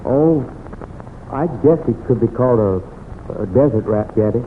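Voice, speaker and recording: A man's voice: medium pitch, animated, moderate pace, big-room sound, a little hiss or hum, lightly acted. A narrow, tinny old radio western. A male actor plays an earnest American ranch hand who talks plainly and a little hesitantly. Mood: unsure. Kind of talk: 1940s-50s radio western acting.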